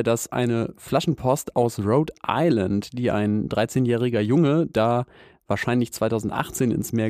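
Male podcast host, calm, measured, mellow voice, dry studio sound. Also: mid-range voice, no echo. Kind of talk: news podcast presenting and interviewing.

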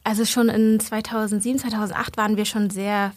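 A woman in her thirties, a podcast guest, telling lively stories in a casual chat and laughing often. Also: medium pitch, a little fast, no echo, studio quality. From Germany, Berlin.

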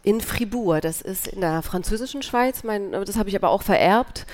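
Woman, from Switzerland, panel guest, lively anecdotes, medium pitch. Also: normal speed. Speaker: guest, actress and writer. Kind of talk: casual live panel chat.

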